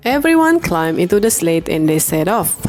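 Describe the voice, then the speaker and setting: A woman reading a children's bedtime story aloud in an animated, expressive way. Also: on the low side, normal speed, soft background music. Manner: animated, acts out the dialogue. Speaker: storybook reader.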